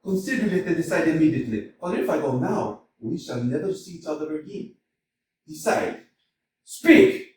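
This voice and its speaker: An actor playing a hot-tempered middle-aged landowner in a staged comedy reading, swinging from mutters to loud outbursts. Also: male voice, fairly high pitch, expressive, moderate pace, slight background noise, close to an everyday voice. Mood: frantic. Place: community theater stage. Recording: echoey hall, mic from far off.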